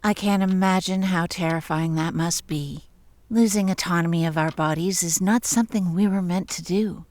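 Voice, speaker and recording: A woman acting a calm, clinical psychiatrist of sixty in an audio drama, measured and probing. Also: lowish voice, dry recording, lightly acted. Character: sixty-year-old female psychiatrist, impassive, measured, probing.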